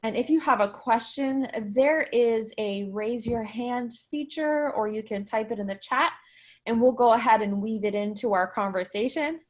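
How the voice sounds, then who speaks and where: Woman, fairly high voice, upbeat panel moderator, chatty, video call. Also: normal speed. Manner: enthusiastic, encouraging, chatty. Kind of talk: upbeat online panel hosting.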